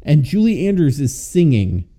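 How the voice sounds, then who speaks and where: A man with a very dark, warm voice talks through a film scene by scene with his co-host. He is relaxed and chatty, and he slips into playful voices when he quotes the characters. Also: a little high, moderate pace. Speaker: podcast co-host. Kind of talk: casual movie recap chat.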